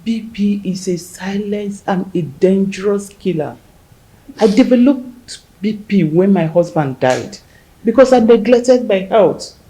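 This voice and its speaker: A woman playing a widow who gently comforts a grieving friend in a soothing, motherly way. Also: mid-range voice, animated, measured pace, close to an everyday voice, poor audio. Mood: consoling. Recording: faint background noise.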